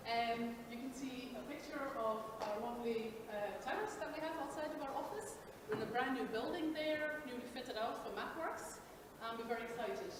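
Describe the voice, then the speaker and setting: A woman's voice: a little high, moderate pace, hardly any pauses. A woman from Belgium gives an upbeat, chatty recruiting presentation with frequent ums, recorded from a distance in a large expo hall. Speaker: company presenter. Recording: echoey hall, distant mic, background noise.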